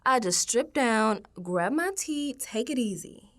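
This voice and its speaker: A woman acts out a spooked but streetwise young woman, confiding in an audio diary. Her voice sits high and leaps around in lively swings. The delivery is slangy and conversational, in the manner of a first-person radio drama. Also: slow pace, noisy background. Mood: exasperated, chatty. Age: young adult. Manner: slangy, conversational, dropping into hushed asides.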